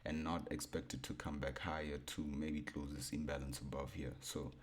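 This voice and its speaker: Young male trading tutor, very low baritone, relaxed, thinks aloud at a desk mic. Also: moderate pace, slight background noise. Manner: laid-back, repeats himself, thinks aloud. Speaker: forex trading educator. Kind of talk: casual screen-share chart breakdown.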